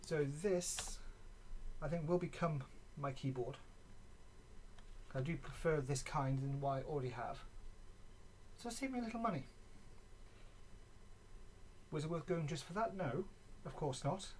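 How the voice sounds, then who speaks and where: A gaming video creator with a medium-pitched voice talks in a casual, off-the-cuff way. The delivery is halting and conversational, as in a show-and-tell vlog. There is some noise behind the voice. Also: lively intonation, a little mellow. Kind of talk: casual vlog chat showing items. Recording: clearly noisy background.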